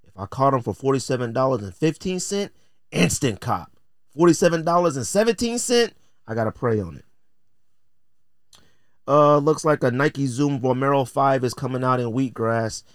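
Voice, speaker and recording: A man's voice: mid-range voice, lively intonation, normal speed, dry recording, studio quality. An American man hosting a podcast with casual, opinionated commentary, talking in slang with a blunt, joking, theatrical delivery. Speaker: podcast host.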